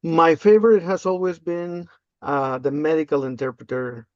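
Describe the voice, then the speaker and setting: An older man from Peru, a native Spanish speaker, answering interview questions casually and haltingly with frequent ums and uhs. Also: fairly high pitch, darkish tone, dry recording. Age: 50s or older. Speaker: interview guest, language access specialist. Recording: slightly dull, lo-fi close audio.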